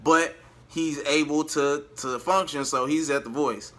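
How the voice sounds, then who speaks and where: Bright-voiced man telling a story. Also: on the high side, unhurried. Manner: animated, slangy, acts out quoted dialogue. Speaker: vlogger and amateur singer. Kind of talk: casual storytelling to viewers.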